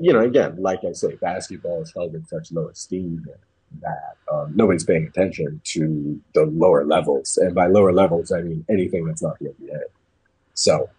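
American male basketball pundit, low register, very rough-edged husky voice, opinionated, conversational. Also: mellow, poor audio. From New England, United States. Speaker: basketball commentator. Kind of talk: casual sports talk.

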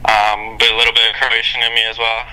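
Young man, bright, with halting answers. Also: medium pitch, monotone, clean tone, poor audio. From Canada. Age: young adult. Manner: halting, many fillers and restarts. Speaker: basketball player. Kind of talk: interview answers.

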